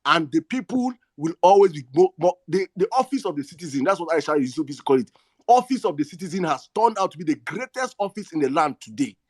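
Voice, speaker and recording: A man's voice: fairly high pitch, animated, fast talker, dry recording. A man with a Nigerian accent hosting an online discussion, speaking in an emphatic, repetitive, rhetorical way as he gives impassioned political commentary. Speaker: host of an online audio discussion space.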